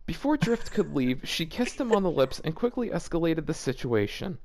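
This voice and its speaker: A man's voice: a little high, big pitch swings, moderate pace, darkish tone. A man reading fan fiction aloud as a YouTuber, breaking off into casual, exasperated and baffled commentary.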